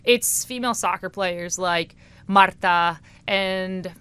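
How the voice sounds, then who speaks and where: A young woman from South Florida co-hosting a casual podcast, chatting enthusiastically and dropping in quick affirmations. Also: lowish voice, pushed and bright, clean tone, no echo. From South Florida, USA. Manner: enthusiastic, conversational, frequent affirmations. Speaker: podcast co-host. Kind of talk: casual chat.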